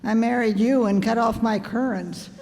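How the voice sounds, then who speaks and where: An actress playing an excitable, stubborn, vain housewife in a theatrical, melodramatic fifties radio sitcom style. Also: female voice, fairly high pitch, moderate pace, natural voice. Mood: indignant, wounded. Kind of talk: 1950s radio sitcom acting. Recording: echoey hall, stage performance.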